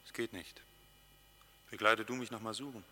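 An actor playing an earnest, reproachful companion with a troubled conscience in a German radio play, speaking in clipped phrases. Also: male voice, fairly low pitch, steady tone, moderate pace, pushed and bright, slight background noise. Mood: urgent, sad.

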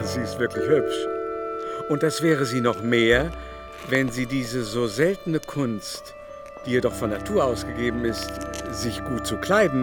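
An actor playing an aging, corpulent French aristocrat in a literary radio drama, teasing and flattering in a mannered, drawn-out way. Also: male voice, mid-range voice, natural voice. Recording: music playing behind the voice.

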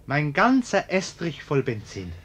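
A blustering middle-aged householder in a radio play. His voice sits on the high side and leaps about with animation. He is pompous and indignant, yet flusters easily and repeats himself. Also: wildly swooping pitch, a little slow, a bit bright, echoey room, heavily acted. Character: blustering, indignant, cowardly bourgeois householder and husband. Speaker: actor. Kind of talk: German radio play acting.

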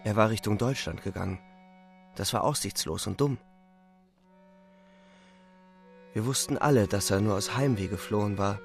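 An actor playing a young, weary front-line soldier who narrates a radio play in a sober, restrained, matter-of-fact way. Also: male voice, on the low side, a little fast, gravelly. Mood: sober, resigned. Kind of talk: scripted radio drama narration. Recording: music faintly behind the voice. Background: music.

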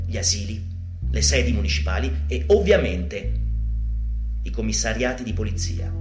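A man performing a theatrical spoken-word monologue in a deliberate, dramatic manner, moving between declaiming and hushed delivery. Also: medium pitch. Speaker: stage actor and monologue performer. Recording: roomy live sound with music behind. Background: live instrumental music.

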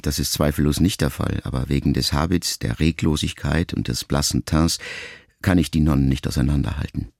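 Deep gravelly man reading reflectively. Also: fairly even intonation, a little mellow, no echo, clean studio sound. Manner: measured, reflective first-person reading. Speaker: audiobook narrator. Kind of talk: scripted literary narration.

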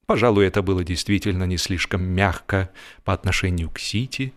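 A man reading a humorous literary story as an expressive narrator, with a theatrical, mock-solemn delivery. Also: lowish voice. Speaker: audiobook narrator.

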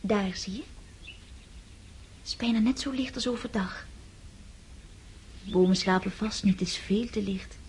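An actress playing a dreamy, impulsive, affectionate teenage countess in a Dutch radio drama. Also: female voice, medium pitch, a little slow, pauses often, a little mellow, a little hiss or hum, close to an everyday voice. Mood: enraptured.

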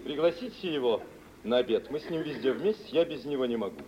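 An actor playing a lordly, self-assured gentleman rake, suave and mocking, in theatrical old Russian stage style. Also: mid-range voice, lively intonation, fast, natural voice. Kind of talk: 1940s Russian stage acting for radio. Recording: distant, muffled, hissy old radio play.

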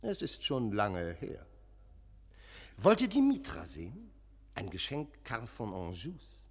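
An agitated sexton whose gravelly voice leaps wildly from murmur to cry. He talks in halting bursts, as radio drama in a long, echoing church reverb. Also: mid-range voice, huge pitch swings, exaggerated voice. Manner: halting, fragmented, abruptly emphatic phrases. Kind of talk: German radio play acting.

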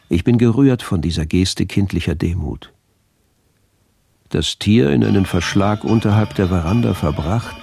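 A man's voice: deep voice, vocal fry, darkish tone. An actor playing a pompous, cold colonial doctor reciting his diary in a declamatory radio drama style. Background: music.

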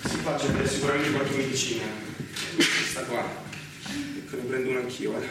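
An actor playing a disgraced, anxious lieutenant in a stage drama, speaking in a formal, apologetic and hesitant way. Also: male voice, mid-range voice, fairly even intonation, brisk, natural voice. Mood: urgent, pleading. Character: disgraced young lieutenant, pleading, deferential and anxious. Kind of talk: naturalistic Italian stage drama. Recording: very echoey, distant, loud noise.

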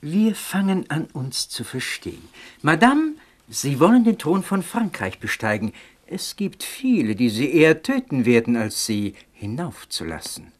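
A man playing a sly, flattering nobleman in a radio drama, smooth and insinuating. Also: fairly high pitch, unhurried. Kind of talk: 1970s radio drama acting.

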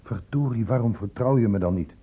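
A man's voice: fairly low pitch, a little fast, slightly rough. A man playing a concerned, insistent doctor, stern but caring, in a Dutch radio drama. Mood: pressing, indignant. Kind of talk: Dutch radio play acting. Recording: muffled, phone-like old radio recording.

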